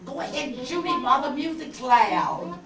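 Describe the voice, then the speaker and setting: A woman as high-voiced, scheming sweet-talking wife. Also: expressive, normal speed, a crowd in the background. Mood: coaxing. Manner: coaxing, falsely sweet. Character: scheming, gold-digging, sweet-talking femme fatale wife. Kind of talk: amateur old-time radio play acting. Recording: echoey, distant, noisy room recording.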